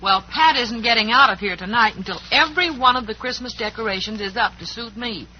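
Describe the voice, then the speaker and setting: An actress playing a brisk, teasing but kindly woman who runs a cafe in an old-time western radio drama. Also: a little high, soft background music, natural voice. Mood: wry, bossy. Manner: wry, bossy, kindly. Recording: muffled old radio recording.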